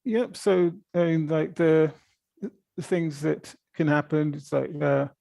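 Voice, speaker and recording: A man's voice: a little high, takes it slowly, creaky, warm tone, no room sound. A man speaking as an expert at a press conference, explaining things off the cuff in a hesitant way with repeated words. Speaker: volcano observatory director answering press questions. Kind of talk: spontaneous press conference answers.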